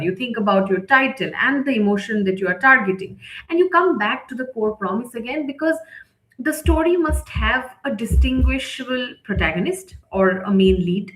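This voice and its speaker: A woman's voice: a little high, a bit bright. A woman editor leading a live instructional session, explaining step by step and often checking in with her listeners. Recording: roomy, echoing sound.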